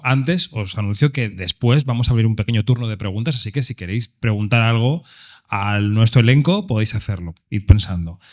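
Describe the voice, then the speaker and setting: A man's voice: medium pitch, a little mellow. A man with an accent from Spain moderating a presentation, posing questions and introducing segments. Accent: Spanish (Spain). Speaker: event moderator. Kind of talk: presenting and asking questions at an event.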